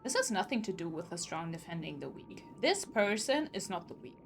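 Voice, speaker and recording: A woman's voice: fairly low pitch, sing-song, a little fast. A woman streamer commenting live on a game, outspoken and emotionally invested as she reacts aloud. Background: faint game music.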